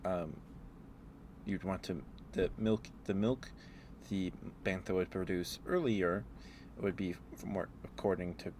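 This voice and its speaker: A man with a very low voice talks in a rambling ASMR style, as a livestreamer would. He muses aloud in a halting way, with faint steady noise behind him. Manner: halting, musing, thinking aloud.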